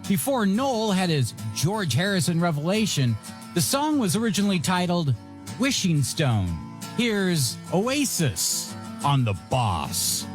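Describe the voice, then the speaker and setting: A man hosts a music radio show in a high, very animated voice. He announces in a showy style, drawing out the ends of phrases and slipping in wry, playful asides. A music bed plays clearly behind his close studio microphone. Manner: showy announcer delivery with drawn-out phrase endings. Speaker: radio DJ and host. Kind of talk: music radio DJ patter, announcing songs and reading light news stories. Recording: radio broadcast with music underneath.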